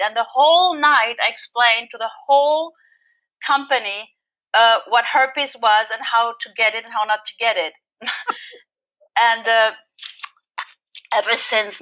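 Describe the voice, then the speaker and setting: German-accented woman guest, loud and bright, laughs through her own story. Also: on the high side, lo-fi sound. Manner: animated, laughing, self-mocking. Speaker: guest sharing recovery story. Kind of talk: personal storytelling interview.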